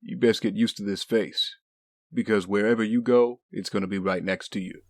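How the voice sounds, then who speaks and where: An actor playing an easygoing but watchful bodyguard, dryly teasing and casual, in an audio drama. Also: male voice, lowish voice, fairly even intonation, moderate pace, no echo, natural voice. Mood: dry, firm. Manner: dry, casual, wry.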